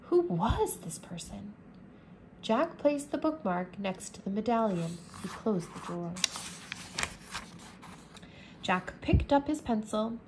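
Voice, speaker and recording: Slow, careful woman reading a storybook. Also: mid-range voice, lively intonation, background noise. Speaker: English teacher. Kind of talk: slow read-aloud of a children's chapter book. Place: indoors at a wooden table.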